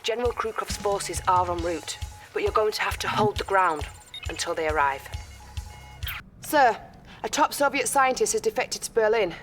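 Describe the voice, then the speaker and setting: A woman's voice: medium pitch, lively intonation, normal speed, fairly bright tone, close to an everyday voice. Actress as earnest female military briefing officer over music. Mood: urgent. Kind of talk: video game cutscene acting, mission briefing. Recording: dramatic music under the voice.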